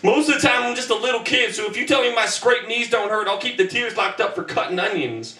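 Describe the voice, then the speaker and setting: A man's voice: high voice, fast talker, bright, forceful tone. A man in his twenties performing spoken word poetry on a small club stage, with a rhythmic, punchy delivery broken by chatty asides. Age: 20s. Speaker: performance poet. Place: small club stage with band gear behind. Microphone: stand mic, filmed from the back of the room. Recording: roomy distant live club recording.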